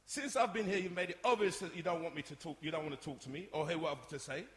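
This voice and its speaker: A man's voice: fairly high pitch, quick pace, lightly acted. A man playing an exasperated, outspoken young older brother in a radio drama, chiding and emphatic. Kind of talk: radio soap acting before a live audience. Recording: echoey hall, long reverb.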